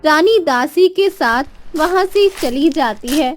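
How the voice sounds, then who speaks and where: A woman's voice: sits high, animated, slow pace. A woman narrating a scripted children's story. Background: music.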